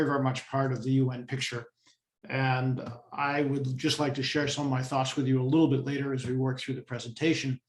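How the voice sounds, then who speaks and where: An American man in his sixties, a vice president of a safety institution, introducing himself in a measured way with frequent pauses over a video call. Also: medium pitch, reverberant. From United States. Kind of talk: brief self-introduction.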